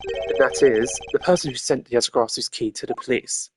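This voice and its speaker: A woman voicing a proud, determined young male prosecutor in a video game, speaking in a measured, formal, accusing way. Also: a bass voice, noisy background, voice pushed down. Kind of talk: game character voice acting.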